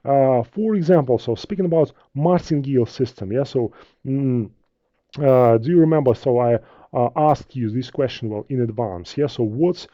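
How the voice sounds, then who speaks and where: A man with a Russian accent, a native Russian speaker, teaching trading in a live webinar, halting, with many fillers and restarts. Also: medium pitch, warm tone, dry recording, dull audio. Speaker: trading instructor. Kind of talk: live webinar talk with viewer questions.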